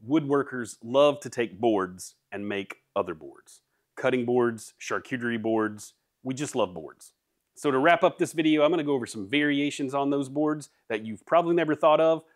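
A man's voice: medium pitch, no echo, clean studio sound. A man, hosting a woodworking channel in an upbeat, jokey how-to manner from his home workshop. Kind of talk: scripted workshop narration.

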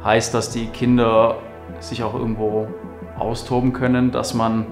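A man's voice: mid-range voice, flat delivery, measured pace. A man who teaches skiing, speaking in an interview for a club film. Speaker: ski instructor. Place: plain indoor studio. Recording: roomy sound with music behind.

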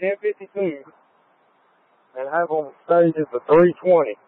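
A man's voice: fairly high pitch, a little slow. A male state trooper giving clipped, coded reports over a police radio during a pursuit. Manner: clipped procedural phrasing in radio codes. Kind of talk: terse police radio traffic. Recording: tinny narrowband police scanner radio.

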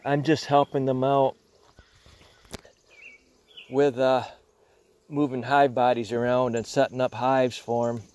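A man's voice: medium pitch, flat delivery, slow pace, long pauses, a little mellow, smooth. An older man beekeeper chatting casually outdoors while working his hives, rambling with frequent restarts. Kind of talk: casual hands-on demonstration. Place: outdoors among beehives in a yard.

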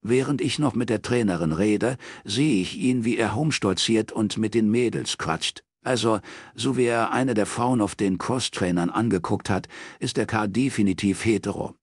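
A man's voice: on the low side, moderate pace, dry recording, clean studio sound, pitched above the performer's own voice, natural voice. A man voicing a smug, flirty private detective with a pushy, bantering manner in an audiobook. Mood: eager, smug.

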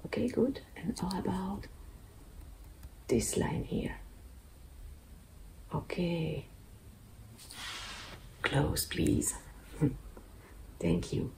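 A woman whispering softly and breathily in an ASMR eye exam roleplay, gently giving instructions close to the microphone. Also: lowish voice, lively intonation, vocal fry, rough recording. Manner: slow, soft, gently instructing. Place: small indoor studio with dark backdrop.

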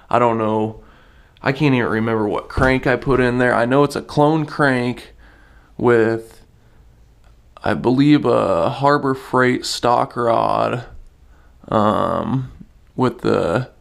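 A man's voice: medium pitch, vocal fry. A man giving a rambling, laid-back walkaround of his home workshop, with many fillers and restarts, recorded on a handheld camera indoors. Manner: laid-back, self-deprecating. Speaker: mini bike builder. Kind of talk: casual walkaround vlog.

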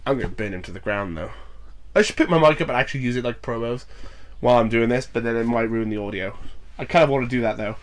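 A man's voice: medium pitch, fast talker. A young man with a cold, sounding nasal, casually commenting on a wrestling game with jokes and swearing, reading lines aloud. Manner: joking, swearing, bursts into loud mock cheers. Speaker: gaming YouTuber.

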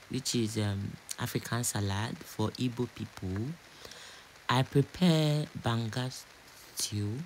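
A man's voice: mid-range voice, a little hiss or hum, poor audio. A man from Nigeria with a Nigerian accent, chatting casually and repeating phrases as a home cook narrating a cooking vlog.

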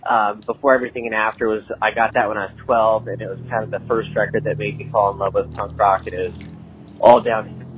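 Flat American man on a tinny phone line. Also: fairly low pitch, fast. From Montana, United States. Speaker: podcast listener leaving a voicemail. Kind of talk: casual voicemail message. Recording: tinny phone voicemail, faint noise.

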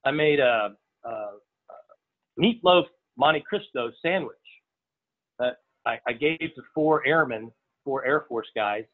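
Middle-aged guy chef, kinda halting, lots of uh's, sounds like over a phone line. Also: mid-range voice, lively intonation. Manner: halting, many uh fillers and repeats. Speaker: restaurant chef. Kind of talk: casual interview answers. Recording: tinny phone-like audio.

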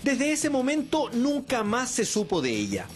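A man's voice: wildly swooping pitch, unhurried. A man reading a scripted news voice-over with dramatic delivery. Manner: dramatic narration with sweeping pitch. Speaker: news reporter. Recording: music bed behind the voice.

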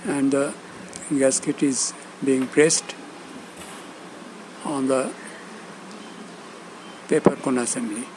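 Slow, halting man giving step-by-step instructions. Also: mid-range voice, fairly even intonation, background noise. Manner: halting, short phrases with pauses. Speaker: instructor demonstrating loudspeaker assembly.

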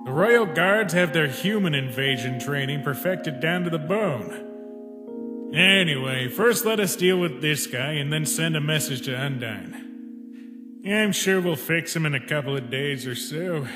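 A man voicing a laid-back, joking skeleton in a comic dub, casual and deadpan. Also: on the high side, close to an everyday voice. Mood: calm, resigned. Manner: unhurried, deadpan. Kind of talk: comic dub character acting. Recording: echoey reverb, music behind.

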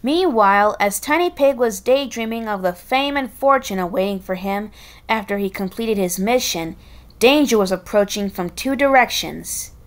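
A woman reading a children's story aloud in an expressive, halting way, with casual chatty asides. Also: mid-range voice. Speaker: storybook reader.

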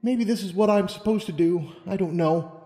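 A man's voice: high-pitched, moderate pace, mellow, reverberant, big-room sound, soft background music, voice pushed up. A man voicing an anxious, self-doubting young unicorn mare in a storybook reading, earnest and hesitant. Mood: hesitant resolve. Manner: hesitant, stammering protests. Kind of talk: audiobook character reading.

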